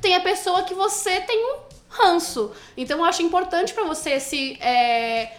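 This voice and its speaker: A woman's voice: very high-pitched, expressive, normal speed. A woman from Santos with a Brazilian accent, a podcast guest chatting casually in a slangy, jokey, mock-earnest way. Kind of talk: casual chat.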